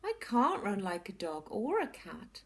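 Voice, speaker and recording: A woman's voice: medium pitch, wildly swooping pitch, a little slow. A woman voicing a young female tortoise who speaks earnestly and plaintively in a storybook. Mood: downcast. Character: young female tortoise, stubborn then sad.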